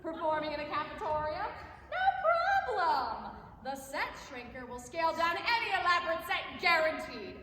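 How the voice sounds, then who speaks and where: A woman playing a peppy, showy infomercial host in a stage comedy, announcing grandly in a theatrical sales-pitch parody. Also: sing-song, normal speed, bright, forceful tone, full character voice. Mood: salesy. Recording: echoey hall, faint noise.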